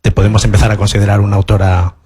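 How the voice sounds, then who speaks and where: A man's voice: on the low side, little pitch movement, rapid-fire, warm tone, breathy edge. A man with a Spanish accent from Spain asking the author a question from the audience, hesitant and full of fillers. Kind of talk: question from the floor. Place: auditorium.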